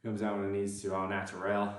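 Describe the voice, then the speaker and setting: A man's voice: on the low side, fast, far from the mic. Southern man in a very echoey gym. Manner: relaxed, rambling and self-deprecating, answering viewer comments between sets. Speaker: livestreaming lifter. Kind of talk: casual chat with chat viewers. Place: indoor gym. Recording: very echoey room, voice off-mic.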